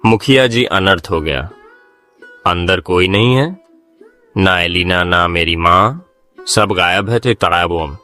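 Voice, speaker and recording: A man's voice: sits low, slow pace, pauses often, pushed and bright, soft background music, exaggerated voice. A man playing an anxious, pleading young villager in a cartoon tale, with a flustered manner. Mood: urgent, alarmed.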